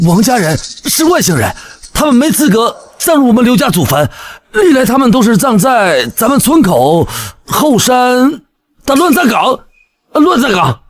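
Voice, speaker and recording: A panicky, excitable villager in an audio drama. The voice is extremely high for a man, rough and husky, and leaps about in pitch. He exclaims with flustered urgency. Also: moderate pace, exaggerated voice. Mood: flustered explaining. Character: panicky, excitable villager, younger brother of the chief.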